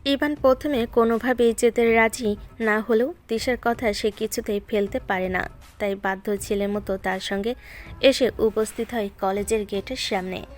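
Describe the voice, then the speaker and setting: A woman's voice: a little high, fairly even intonation, normal speed. A woman reading scripted story narration as the narrator, with music playing underneath.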